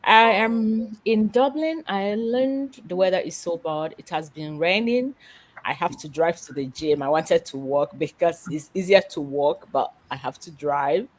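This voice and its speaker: Slow-talking woman co-host. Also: fairly low pitch. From Nigeria.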